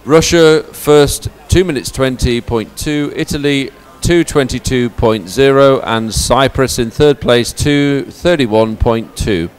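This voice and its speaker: A man's voice: medium pitch, slow pace, few pauses, clean tone. A man giving chatty, encouraging live commentary as a sports commentator at a boat race. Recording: outdoor background noise.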